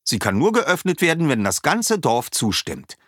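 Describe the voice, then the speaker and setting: A man's voice: fairly high pitch, wildly swooping pitch, normal speed, husky, no echo, clean studio sound, pitched above the performer's own voice, exaggerated voice. A man doing an earnest, worried village boy. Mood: eager.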